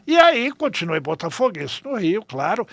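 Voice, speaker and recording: Homem idoso de voz aguda. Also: male voice, high voice, big pitch swings, moderate pace, gravelly, a bit bright, dry recording. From Rio de Janeiro, Brazil. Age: 70s. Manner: conversational storytelling, often checks in with "né". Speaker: podcast guest, journalist and writer. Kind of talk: casual interview, reminiscing anecdotes.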